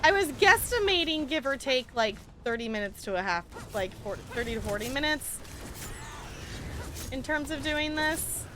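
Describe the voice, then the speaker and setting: Female game streamer, casual and playful, lively high voice over clear background noise. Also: normal speed. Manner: expressive, playful exclamations and pleading. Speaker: live streamer. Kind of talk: casual gameplay commentary, chatting with viewers.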